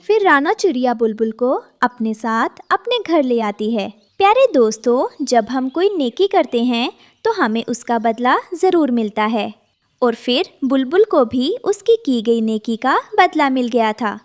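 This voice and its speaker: A woman reads a scripted children's tale as narrator. The voice is very high and highly animated, in a dry, close recording. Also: measured pace, no room sound.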